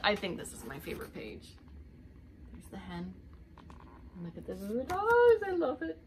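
A woman's voice: medium pitch, wildly swooping pitch, moderate pace, slight background noise. A middle-aged woman reading a picture book aloud as a preschool teacher, expressively, with little asides to young children. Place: indoors, home living room.

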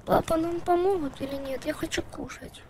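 A woman's voice: very high voice, normal speed, mellow, a little hiss or hum. A woman voicing a hungry, frightened little boy who pleads plaintively and repetitively in a prank call. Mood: whining, scared.

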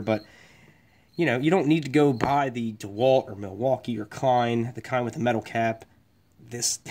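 A man's voice: medium pitch, a little mellow. Electrician guy rambling about his tools at the bench, swears casual, regular mid voice. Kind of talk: casual tool review. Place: workshop bench, indoors.

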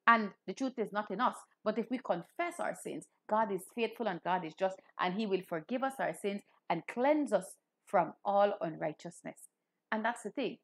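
A woman's voice: mid-range voice, a bit bright. A woman, hosting a children's Bible lesson, asking questions and repeating key points. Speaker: children's Bible show host, Anglican clergy. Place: small room indoors.

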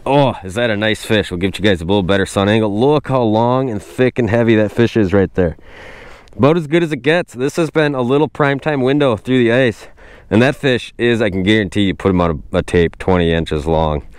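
A man hosting a casual fishing vlog outdoors on a frozen lake, recorded on a wearable camera, exclaiming excitedly at each catch. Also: lowish voice, fairly quick, clean tone, no echo. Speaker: host. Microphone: wearable action camera.

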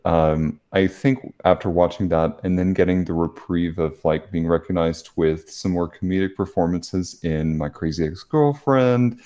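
A young man co-hosts a podcast over a video call. His voice is very deep and dark, and he works his way into his questions with hesitant fillers. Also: unhurried, hardly any pauses. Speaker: podcast co-host. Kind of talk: interview questions.

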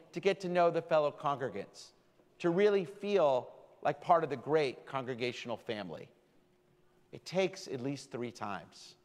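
A man giving a sermon as rabbi to his congregation, speaking with slow, deliberate emphasis. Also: sits high. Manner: warm, deliberate preaching with slowed emphasis. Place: large synagogue sanctuary. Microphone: stand mic from a far camera. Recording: long hall reverb.

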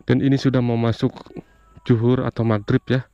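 A man hosting a motorbike vlog, narrating casually while riding outdoors, in unhurried, drawn-out phrases that often address his viewers. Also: mid-range voice, little pitch movement, mellow, no echo. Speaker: motovlog host. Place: outdoors on a motorbike on rural roads. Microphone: action camera on the bike. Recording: dull lo-fi audio with road and engine noise.

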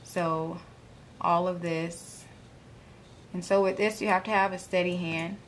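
A woman presenting a software tutorial, calmly walking viewers through each click step by step. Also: fairly low pitch, a little slow, a little hiss or hum. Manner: plain instructional, walks through clicks calmly. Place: home desk, filming a computer screen.

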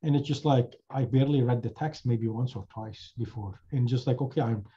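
Man, chant instructor, dark mellow voice, rambling casual talk, dull lo-fi video call sound. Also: mid-range voice, brisk. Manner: rambling, many fillers and restarts. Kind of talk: casual discussion in an online class.

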